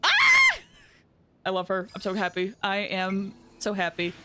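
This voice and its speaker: A woman game streamer chatting casually and excitably, thinking aloud with frequent exclamations as she plays. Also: medium pitch, a little slow, shouting, over loud music. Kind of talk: casual livestream gaming chat.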